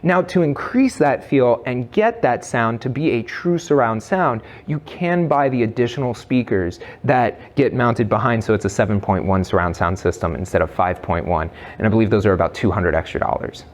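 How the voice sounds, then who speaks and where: A man's voice: medium pitch, expressive, normal speed, hardly any pauses. A man presenting a product review in a conversational, semi-scripted way, with an enthusiastic, emphatic delivery.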